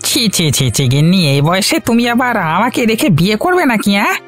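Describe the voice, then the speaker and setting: A man's voice: high-pitched, very animated, brisk, a bit bright, faint music behind. A man voicing a poor, meek, forgetful farmer husband in a cartoon, speaking in a sheepish, placating way. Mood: puzzled, teasing. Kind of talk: Bengali children's cartoon dialogue.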